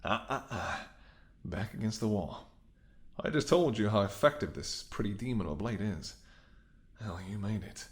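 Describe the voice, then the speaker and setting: A man's voice: lowish voice, animated, moderate pace, long pauses. A man playing a menacing, smirking mercenary ex-bodyguard in an audio roleplay, with a taunting, sardonic way of talking. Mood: smug menace. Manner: taunting, sardonic, swearing, drops to whispers. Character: menacing, smirking male mercenary ex-bodyguard holding someone at gunpoint. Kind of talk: dramatic audio roleplay spoken to the listener.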